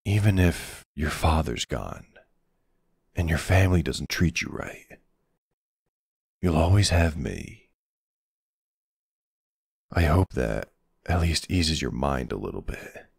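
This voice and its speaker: A man playing a gruff but tender, protective older man in an intimate ASMR boyfriend roleplay, speaking hesitantly with casual endearments. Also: a bass voice, lively intonation, takes it slowly, long pauses, no room sound, studio quality, heavily acted. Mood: protective, reassuring. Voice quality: gravelly, husky. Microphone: close, dry microphone.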